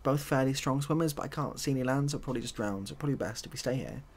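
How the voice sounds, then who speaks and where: A man playing a pragmatic female penguin in a storybook tale, reasoning in a deadpan, unbothered way. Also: medium pitch, very fast, voice pushed up, close to an everyday voice. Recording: clearly audible background noise.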